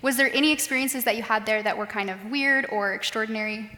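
A young woman moderates a student panel, tossing out quick, chatty questions. Her voice is high and bright, and she talks fast. A long hall reverb follows each line. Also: slight background noise.

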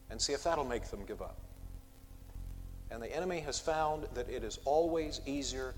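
A man preaching an expository sermon from a pulpit, measured, with emphatic rises on key words. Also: fairly high pitch, long reverb tail. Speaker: pastor. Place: church sanctuary.

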